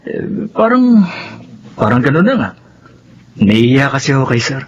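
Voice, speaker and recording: A man's voice: mid-range voice, very animated, a little slow. An actor playing a polite, hardworking male employee in a Filipino radio drama, deferential and hesitant in manner. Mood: sheepish. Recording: dull radio sound, faint noise.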